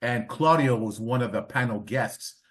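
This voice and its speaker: A man's voice: mid-range voice, moderate pace, fairly bright tone. A man hosting a casual interview podcast, talking enthusiastically and repeating phrases for emphasis. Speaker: podcast host.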